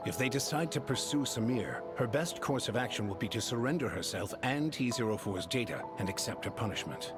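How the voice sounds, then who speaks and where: An actor playing a composed, authoritative male scientist in a video game, explaining grim matters in formal, measured, articulate speech. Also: medium pitch, animated, natural voice. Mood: stern, regretful. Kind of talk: scripted game dialogue, formal exposition. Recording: game music behind the voice.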